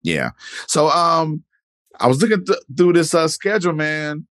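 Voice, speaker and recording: A man hosting a podcast interview in relaxed, casual chat, rambling with many fillers and restarts. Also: fairly high pitch, normal speed, no echo, studio quality. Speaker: podcast host.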